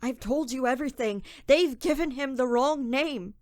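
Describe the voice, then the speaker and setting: Woman playing an earnest, high-voiced, haunted young man. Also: moderate pace, a little mellow, no echo, studio quality, natural voice. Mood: haunted insistence. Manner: earnest, pleading, emphatic. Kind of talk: radio drama acting.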